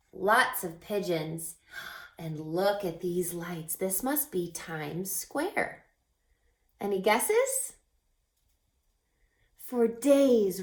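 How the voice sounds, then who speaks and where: A woman teacher reading a children's storybook aloud in an expressive, teacherly way, pausing to put questions to young listeners. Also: mid-range voice. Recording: echoey, roomy sound.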